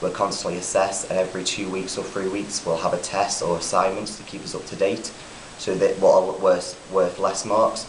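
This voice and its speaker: A young man from England, an exchange student calmly explaining his views in an interview. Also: low-pitched, moderate pace. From England, near Manchester. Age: young adult. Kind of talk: interview answers. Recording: roomy with faint hiss.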